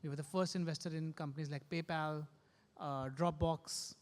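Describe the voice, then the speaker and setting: A man, a venture capital panelist, introducing his work in a brisk, businesslike way. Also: a little high, fairly even intonation. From India. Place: conference hall stage. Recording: long hall reverb.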